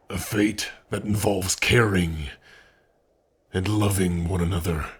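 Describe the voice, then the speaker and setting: A man voicing a big, gentle orc who is a devoted lover, speaking tenderly and earnestly in an intimate ASMR romance roleplay, with a husky voice. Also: sits low, exaggerated voice. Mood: tender, proud. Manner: tender, earnest, slow and breathy with sighs.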